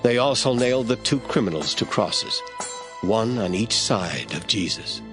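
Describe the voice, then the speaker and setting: A man narrating scripture in a radio drama, reading in a steady, measured way. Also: on the low side, music in the background.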